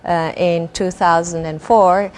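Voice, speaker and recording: A woman's voice: fairly low pitch, steady tone, a little slow. A woman from Belgium, answering interview questions in an earnest, articulate and considered way. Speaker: interview guest, nonprofit executive director.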